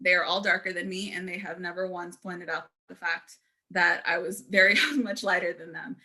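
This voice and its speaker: A woman panelist telling her own story in an earnest, halting way with frequent ums. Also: lowish voice, little pitch movement, bright, forceful tone.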